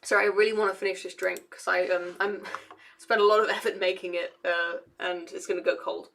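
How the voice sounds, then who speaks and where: A woman's voice: fairly low pitch, level tone, brisk, creaky, fairly bright tone. A young woman, an engineer, giving casual commentary to camera in a hesitant, rambling way with many fillers and restarts. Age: young adult. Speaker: engineer and video essayist.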